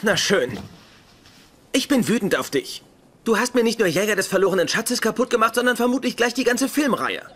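An actor playing a pedantic, petty young genius in a dubbed sitcom, speaking with smug precision. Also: male voice, high voice, very animated, brisk, a bit bright, full character voice. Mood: petulant. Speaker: sitcom character. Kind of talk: German-dubbed sitcom acting. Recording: sitcom soundtrack with audience laughter, noisy.

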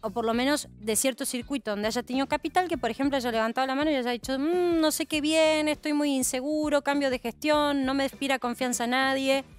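Argentine woman hosting tv news panel, high voice, animated, asks lots of rhetorical questions. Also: brisk, few pauses. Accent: Argentine Spanish. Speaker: news host. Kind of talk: studio news panel discussion. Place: large TV news studio.

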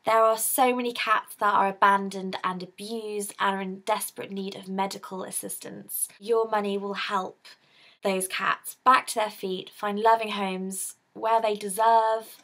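A woman's voice: mid-range voice, level tone, measured pace, a bit bright. A young woman with a British accent, talking casually to her webcam from her bedroom, bubbly and chatty with frequent laughs. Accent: British English. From United Kingdom. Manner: bubbly and chatty, laughs often, gestures with hands. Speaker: vlogger raising money for a charity. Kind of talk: casual direct-to-camera appeal. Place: bedroom, indoors. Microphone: webcam in front of her.

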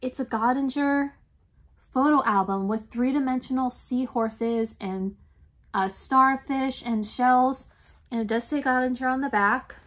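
A woman, chatting casually in a home craft room, slightly raspy and congested from allergies, clearing her throat now and then. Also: sits high, measured pace. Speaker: reseller vlogger. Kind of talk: casual shop-with-me haul chat.

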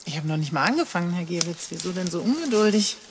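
An actress playing a brisk, impatient forensic pathologist in a crime comedy audio drama. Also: female voice, on the low side, lively intonation, background noise. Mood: impatient, sarcastic.

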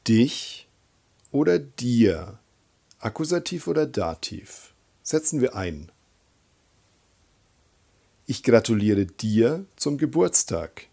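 A man working as a language teacher, reading example sentences in a deliberate, instructional way. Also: mid-range voice, sing-song, vocal fry, dark tone, husky. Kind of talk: scripted grammar drill, reading example sentences.

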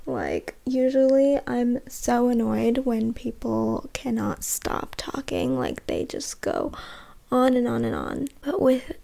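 Slow-paced young woman with creaky voice. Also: a little high, wildly swooping pitch, warm tone. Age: young adult. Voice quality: slight lisp. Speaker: book vlogger. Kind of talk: casual reading vlog chat. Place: bedroom, indoors. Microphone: desktop or handheld condenser mic, close.

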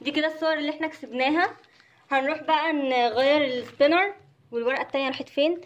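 A woman's voice: high voice, rapid-fire, muffled recording. A woman with an Egyptian accent casually narrating an unboxing for children. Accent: Egyptian Arabic. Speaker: host of a children's toy channel.

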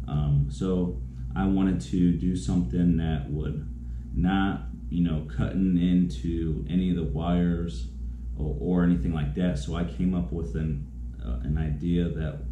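A young man explaining a repair in a casual, rambling way, with many fillers and restarts, filmed in a garage on a camera mic a few feet away. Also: low-pitched. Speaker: small business owner demonstrating a repair. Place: garage, indoors. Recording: echoey garage with faint noise.

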